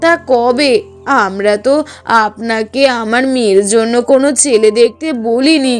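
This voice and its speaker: A woman's voice: on the high side, measured pace, soft background music, close to an everyday voice. A woman voicing a fair-minded, fretful middle-aged mother-in-law in a cartoon, earnest and admonishing. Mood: worried resolve. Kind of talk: Bengali cartoon voice acting.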